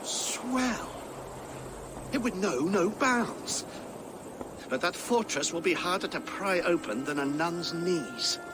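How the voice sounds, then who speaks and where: A man's voice: high-pitched, expressive, slow, background noise. An actor playing a scheming, fussy older English churchman in a video game, talking in a wry, self-important, theatrical way. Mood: exasperated. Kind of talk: video game cutscene acting.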